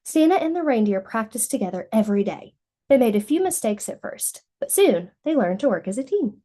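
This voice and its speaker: A woman reading a picture book aloud to young children in an expressive storytelling manner. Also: a little high, brisk.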